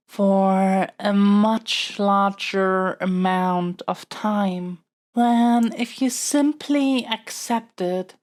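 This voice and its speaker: A woman's voice: mid-range voice, a little mellow. A woman playing a young royal princess in an audio roleplay, speaking in a formal, stately, politely pleading manner. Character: young royal princess, haughty yet smitten, kidnapped by dragon. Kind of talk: scripted audio roleplay acting.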